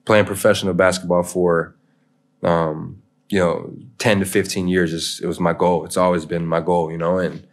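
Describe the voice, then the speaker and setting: A young American man with a very deep voice that barely rises or falls, so his lines come out low and level. He talks loosely and rambles, tossing in "man" and "you know" as he goes. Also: flat delivery, normal speed. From United States. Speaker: interview guest, pro basketball player. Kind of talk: casual podcast chat.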